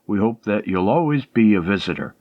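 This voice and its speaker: A male radio host with a fairly low voice and very varied intonation. He reads in a scripted presenter's style, with dry, slightly dull sound. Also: big pitch swings, fairly quick, no echo.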